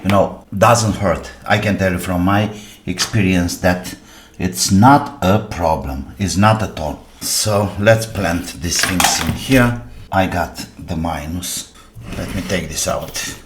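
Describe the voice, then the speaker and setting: A man casually narrating a workbench repair, half muttering to himself in short phrases with pauses between them. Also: sits low, noisy background. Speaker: electronics repair host.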